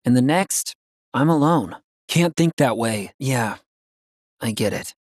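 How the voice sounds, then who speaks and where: Casting: boyish, good-hearted young ex-soldier for a video game; animated pitch, unhurried pace, dry close sound. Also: male voice, dry recording. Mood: reflective, subdued. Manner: casual, boyish, earnest. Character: easygoing, upbeat young male ex-soldier, loyal and earnest.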